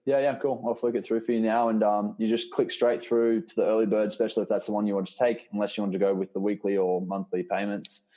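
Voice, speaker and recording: A man's voice: fairly low pitch, flat delivery, fast, hardly any pauses, warm tone. A man hosting a casual group call as a fitness coach, speaking in an encouraging, reassuring, informal way. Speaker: host and fitness program coach. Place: live online video call.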